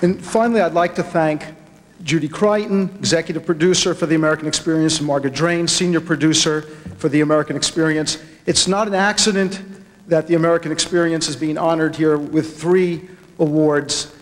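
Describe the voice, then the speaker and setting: A man with a fairly high voice gives an acceptance speech in a big hall with long reverb. His delivery is a little halting, with words repeated as he goes. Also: steady tone, some chatter behind. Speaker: documentary producer accepting an award. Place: large hall at an awards ceremony.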